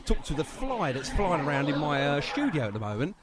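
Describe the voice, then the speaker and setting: Animated English male radio DJ, higher-pitched. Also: a little fast, crowd noise. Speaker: radio DJ and interviewer. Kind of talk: chatty radio interview.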